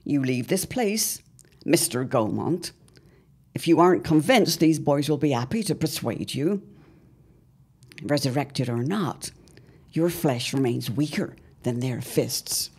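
Period-drama matriarch, female actor: firm, authoritative, mellow husky voice with lively swings in pitch. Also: slow pace, exaggerated voice. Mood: stern threat. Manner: formal, authoritative. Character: firm, commanding mother of a early-1900s household. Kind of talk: period audio drama acting.

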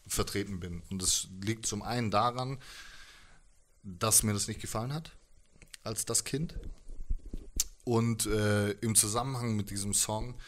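A man in his thirties talking casually in an interview, reflective and halting, into a handheld microphone held close. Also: lowish voice, fairly bright tone. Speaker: musician being interviewed. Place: living room with instruments, indoors.

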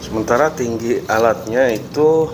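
A man's voice: medium pitch, normal speed, noisy background. Chatty man reviewing gear in a workshop. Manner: chatty, explains step by step with friendly asides. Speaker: DIY channel host. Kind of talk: casual product review vlog. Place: workshop with tools on the wall, indoors.

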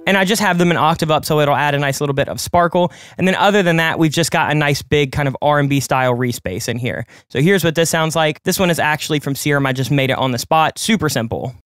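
A man in his late twenties walks through a music production in a tutorial, talking fast and casually as he explains each step. His voice sits a bit high and sounds smooth and clear. The sound is dry and close, recorded in his home studio. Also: fairly bright tone, no echo. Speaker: music producer and channel host. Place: home studio with colored lights.

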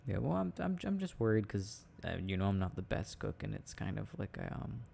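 A young man playing a sweet, shy, devoted boyfriend in an intimate ASMR roleplay, speaking softly and hesitantly, close to the mic. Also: lowish voice, sing-song, gravelly, a little hiss or hum, exaggerated voice. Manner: soft, tender, hesitant, halting.

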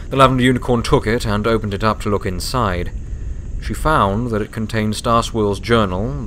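A man's voice: on the low side, fairly bright tone, slight background noise. A man reading scripted story narration as an audiobook narrator.

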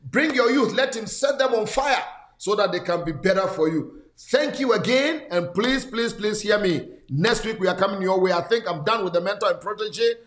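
A man's voice: high-pitched, lively intonation, normal speed, echoey room. A man in his sixties, a pastor hosting a talk show, who repeats words for emphasis and urges listeners on. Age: 60s. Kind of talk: conversational mentoring talk. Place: studio set, indoors.

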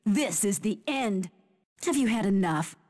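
A woman's voice: mid-range voice, expressive, measured pace, darkish tone, in a large hall. An actress playing a fierce female fighter who taunts opponents in haughty, theatrical battle lines for a video game. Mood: combative. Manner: haughty, theatrical, clipped declarations.

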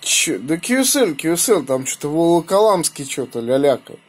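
A man with a fairly high, husky voice works as an amateur radio operator. He talks casually and colloquially, slipping between slang and spelled-out call signs. He is recorded on a phone in a small room. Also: sing-song, moderate pace. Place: small room indoors beside radio equipment. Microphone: phone held near the radio.

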